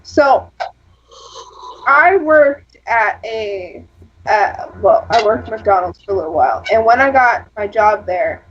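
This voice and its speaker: Husky woman rambling through stories. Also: lively intonation, slow pace. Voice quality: husky, lower than average for a woman. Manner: rambling, storytelling with frequent fillers. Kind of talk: casual chat. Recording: lo-fi dull sound.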